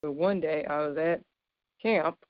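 A woman in her thirties with a deep, low voice, giving an informal, earnest devotional sermon and laughing lightly between points. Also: measured pace, no echo, lo-fi sound. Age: 30s. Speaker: speaker giving a devotional talk.

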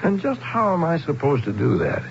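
Bewildered outsider, noisy radio recording. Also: male voice, fairly high pitch, wildly swooping pitch, moderate pace, creaky, full character voice. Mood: anxious, questioning. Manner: questioning, incredulous, hesitant. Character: bewildered male outsider stranded in a strange town. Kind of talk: radio drama acting. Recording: dull, noisy old radio broadcast.

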